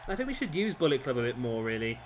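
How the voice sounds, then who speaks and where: A man, his voice a little hoarse, doing casual play-by-play commentary over a wrestling video game, rambling with fillers and correcting himself. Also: medium pitch, big pitch swings, fast talker, background noise. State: slightly hoarse, losing his voice. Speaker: gameplay commentator.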